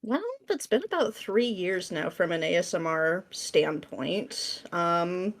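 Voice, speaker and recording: A woman being interviewed as a guest, chatting casually in a jokey, animated manner. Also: on the low side, takes it slowly. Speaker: podcast guest, script writer.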